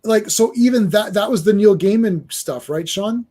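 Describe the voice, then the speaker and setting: A man in his thirties in casual chat about comics, playful and quick to joke. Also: sits high, mellow. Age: 30s. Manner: playful, joking, quoting films.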